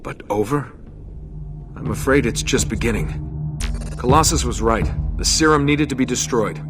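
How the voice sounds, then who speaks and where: A man playing a serious superhero team leader in a video game. His medium-pitched voice is earnest, measured and authoritative, with loud music behind it. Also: lightly acted. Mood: grave, analytical. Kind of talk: video game cutscene acting.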